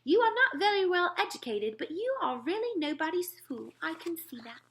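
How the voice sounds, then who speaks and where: A woman voicing a gracious, curious queen in a children's storybook, speaking in a polite, measured manner. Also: extremely high pitch, very animated, fairly bright tone, a little hiss or hum, pitched above the performer's own voice, full character voice. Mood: admiring. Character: gracious, curious queen praising her giant guest.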